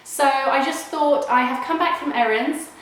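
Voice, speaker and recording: A woman's voice: sits high, moderate pace, a bit bright, slight background noise. A young woman vlogging from home while ill, nasal and rambling, with lots of "like" and restarts. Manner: rambling and chatty, full of "like" and restarts. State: unwell with a cold. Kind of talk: casual chat to camera. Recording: very echoey room.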